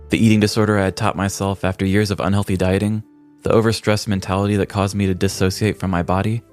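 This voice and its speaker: A man's voice: on the low side, darkish tone. An American man co-hosting a comedy podcast, telling stories with wry, dry humor. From American Midwest. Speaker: podcast co-host. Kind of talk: casual comic podcast talk and scripted storytelling.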